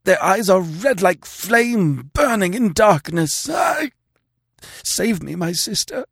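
A man's voice: high-pitched, sing-song, takes it slowly, gravelly, fairly bright tone, husky, no echo, clean studio sound, voice pushed up, heavily acted. A man voicing a desperate dying king. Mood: anguished pleading. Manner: anguished pleading turning to imperious orders. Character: dying young king, bewitched, desperate and commanding. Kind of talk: audiobook character voice.

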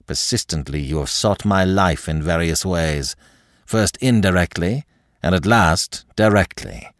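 Man as an icy, low-voiced French marquis villain. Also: dry recording, studio quality. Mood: icy contempt. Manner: icy, measured, formal. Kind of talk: dramatic audiobook character acting.

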